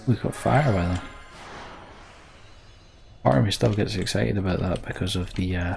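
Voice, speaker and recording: A man's voice: low voice, vocal fry, darkish tone, a little hiss or hum. A man giving casual live commentary as he streams a video game.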